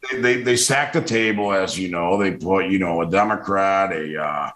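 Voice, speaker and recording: A man with a very low voice who talks loudly and forcefully, often restarting his sentences. His voice has a roomy echo around it. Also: normal speed. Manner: loud, forceful, with many restarts and fillers. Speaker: political commentator. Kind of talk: casual interview.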